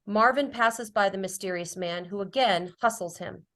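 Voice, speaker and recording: A woman's voice: mid-range voice, normal speed, fairly bright tone. A woman reading stage directions as scripted narration at a drama table read.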